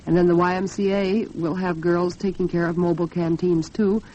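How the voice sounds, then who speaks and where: A dull, band-limited 1940s radio recording carries an American woman's low voice. She talks as a program host, chatty and earnest, with a homely touch. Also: level tone, moderate pace, dark tone, slight background noise. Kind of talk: 1940s radio talk with scripted sponsor chat.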